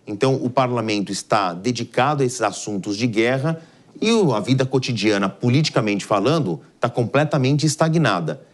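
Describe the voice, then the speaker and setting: A man's voice: mid-range voice, animated, normal speed. A man with a Brazilian accent giving live explanatory news commentary as an analyst, in a didactic, emphatic way.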